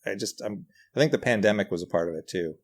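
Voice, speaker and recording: A man's voice: fairly low pitch, fast. A middle-aged man, telling anecdotes casually in a self-deprecating, hesitant way as a podcast guest. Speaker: podcast guest, writer and comedian.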